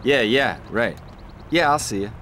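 Smug, sarcastic American rival race car. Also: male voice, medium pitch, lively intonation, a little slow, fairly bright tone, a little hiss or hum. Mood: dismissive. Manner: sarcastic, mocking. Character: smug, sneering male rival race car, bullying show-off. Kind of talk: animated game cutscene acting.

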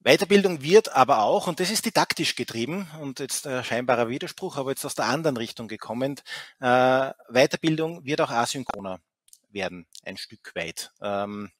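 A man's voice: mid-range voice, animated, normal speed, fairly bright tone, no echo. A middle-aged man giving a keynote lecture over a video call, talking with many fillers and restarts. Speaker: keynote speaker. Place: office, indoors. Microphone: headset, video call.

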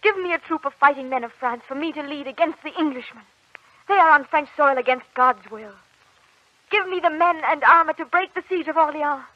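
An actress playing a devout, fearless young warrior maid in an old radio drama, speaking in an earnest, declamatory way. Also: female voice, sits high, fairly quick, dry recording, lightly acted. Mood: earnest, resolute. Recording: dull old radio recording.

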